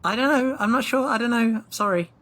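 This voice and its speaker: A man's voice: extremely high pitch, fast, a bit bright. Hesitant man at a tabletop game. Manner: hesitant, apologetic. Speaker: player. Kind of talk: casual tabletop role-play.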